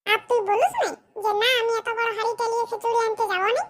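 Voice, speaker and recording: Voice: extremely high pitch, fast talker, fairly bright tone. A performer voicing a cheeky, grumbling village boy with a rural West Bengal accent in a comedy cartoon. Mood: bantering. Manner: brash, grumbling colloquial banter. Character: cheeky, mischievous poor village boys with squeaky voices.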